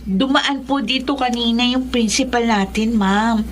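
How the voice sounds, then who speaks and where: A woman's voice: a little high, measured pace, natural voice. A woman playing an admiring, polite young student in a Filipino radio drama. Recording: faint background noise.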